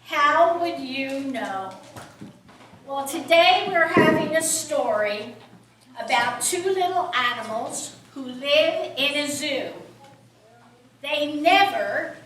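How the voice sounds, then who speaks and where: A high-pitched woman tells a story to young children at a puppet show. She speaks slowly in simple phrases, recorded from a distance in a very echoey room. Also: expressive, fairly bright tone, slight background noise. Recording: very echoey room, distant mic, music behind.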